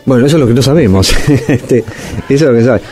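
A man's voice: mid-range voice, expressive, quick pace, dark tone, faint music behind. A man, a guest analyst in a radio interview, talking thoughtfully with many fillers and hedges.

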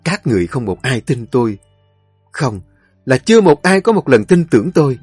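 A man's voice: mid-range voice, big pitch swings, normal speed, darkish tone, slightly rough, dry recording, faint music behind. A man voicing a desperate young wife who pleads and sobs for mercy. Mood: distraught. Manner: sobbing pleading.

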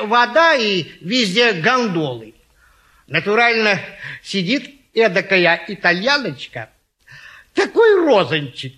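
Very high bright guy voice, a bragging retired navy lieutenant in a stage comedy, hems and savors every bit. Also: full character voice. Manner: hesitant hemming, savoring storyteller. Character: boastful, gallant retired naval lieutenant telling tall tales. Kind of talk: classic stage comedy acting.

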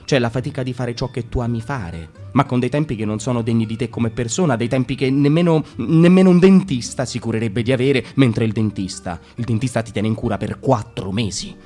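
A man delivers a reflective monologue in a radio play, with emphatic turns of thought. His voice is mellow and warm at a middle pitch. Faint music sits behind him. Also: brisk, hardly any pauses. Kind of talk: theatrical reading of an artist's monologue.

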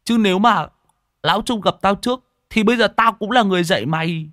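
A man's voice: high voice, fast, a bit bright, no room sound, studio quality, voice pushed up. A man voicing a brash, greedy young male sidekick in an audiobook story, cheeky and boastful. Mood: boastful grumbling.

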